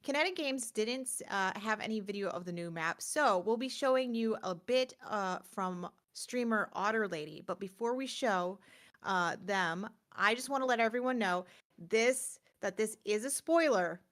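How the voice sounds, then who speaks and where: A woman's voice: medium pitch, lively intonation, moderate pace, fairly bright tone. Casual woman chatting as a gaming show host. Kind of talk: casual chat about video games.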